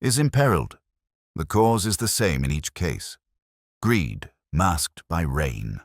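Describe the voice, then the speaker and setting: A man voicing a heavyset older detective genius, grave, pompous and deliberate, in an audio mystery story. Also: low voice, very animated, no room sound, studio quality, exaggerated voice. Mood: solemn, accusing.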